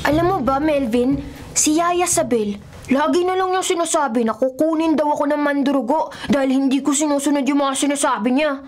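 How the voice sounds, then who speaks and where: A woman's voice: high voice, fairly quick, darkish tone, lightly acted. A woman playing a curious, stubborn, talkative young boy in a radio drama. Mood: earnest, spooked. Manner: childlike, talkative. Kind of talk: scripted radio drama acting.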